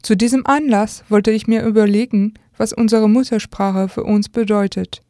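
Mellow young French-accented woman, dry recording. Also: medium pitch, steady tone, normal speed, dry recording. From Alsace, France. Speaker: radio contributor. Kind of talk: scripted essay reading.

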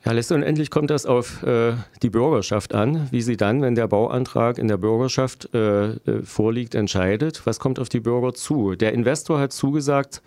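A middle-aged man from Germany answering interview questions as a local politician, explaining deliberately and haltingly with dry irony. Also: mid-range voice, darkish tone, dry recording. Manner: deliberate, halting, dryly ironic. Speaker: local politician interviewed, road planner by profession.

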